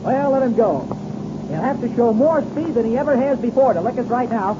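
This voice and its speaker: An actor playing an eager, confident young pilot hero with boyish slang in a 1930s radio adventure serial. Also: male voice, very high-pitched, animated, brisk. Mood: excited confidence. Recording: dull, noisy old radio recording.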